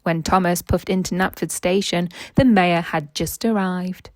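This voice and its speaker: A woman's voice: on the low side, steady tone, measured pace, darkish tone, no echo. A woman reading a children's story aloud as narrator and quiz host.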